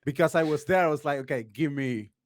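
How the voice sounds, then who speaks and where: A man's voice: fairly high pitch, quick pace, slight background noise. A man from Uruguay, a podcast guest chatting casually, rambling with plenty of "like" and "uh". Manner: rambling, heavy on "like" and "uh" fillers.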